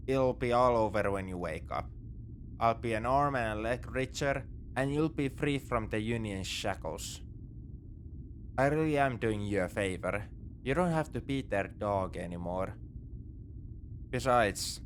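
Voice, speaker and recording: A man's voice: medium pitch, slight background noise, lightly acted. A man playing a morally grey space pirate captor in a sci-fi ASMR roleplay, talking in a casual, wry, coaxing way. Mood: coaxing, soothing. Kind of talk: second-person sci-fi ASMR roleplay acting.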